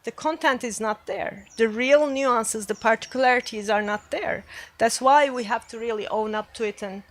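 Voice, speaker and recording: Emphatic woman panelist, slightly high. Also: normal speed, a bit bright. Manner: emphatic, persuasive. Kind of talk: panel discussion.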